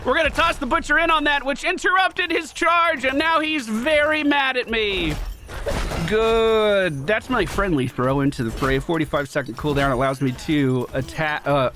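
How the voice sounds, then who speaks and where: Young guy a bit stuffy and nasal, casual gameplay commentary, swears a lot, voice a little high, noisy background. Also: normal speed. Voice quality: slightly nasal from a cold. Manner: casual, swears, excitable bursts when plays land. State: getting a cold. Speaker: gaming YouTuber.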